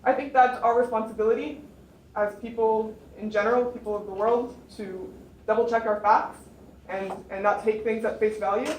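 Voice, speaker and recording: A young woman speaks earnestly and in measured phrases on a panel. Her voice is a little high and sits back from the mic, with an echoey room and faint noise around it. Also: fairly even intonation, far from the mic. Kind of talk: personal account to an audience. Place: lecture room.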